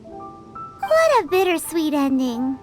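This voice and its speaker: A tiny childlike fairy companion from a video game. The voice is squeaky and very high, eager and lively in its swings, with clear music behind it. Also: female voice, takes it slowly, exaggerated voice. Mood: cheerful. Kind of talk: video game character acting.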